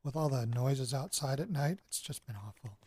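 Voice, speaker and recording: An actor playing a jittery, bitter young man in an audio drama, speaking in a halting, sardonic, conversational way. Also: mid-range voice, warm tone, breathy edge, dry recording, close to an everyday voice. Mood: weary, skeptical. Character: jittery, bitter young man investigating his grandparents' past. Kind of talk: audio drama, naturalistic spoken recorded diary.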